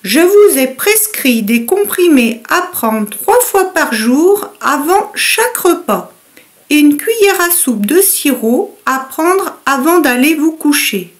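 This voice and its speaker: A woman playing a calm female doctor in a scripted dialogue for learners. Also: high voice, slow, full character voice.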